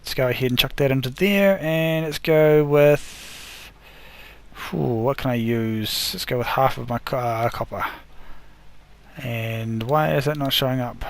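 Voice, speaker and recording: A man casually talking through his game build step by step, often correcting himself, his voice a little worn. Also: mid-range voice. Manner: rambling, self-correcting, talks through each step. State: losing his voice. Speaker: gaming video host. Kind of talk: casual gameplay commentary.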